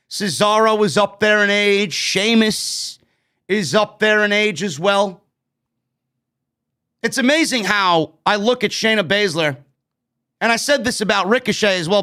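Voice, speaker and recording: A man in his late thirties with a New York accent, hosting a casual wrestling review show, blunt and animated, speaking into a studio microphone. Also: high-pitched. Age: 30s. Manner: animated, gestures a lot, blunt and swearing. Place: streaming studio with neon bar backdrop. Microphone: broadcast mic on stand, headphones.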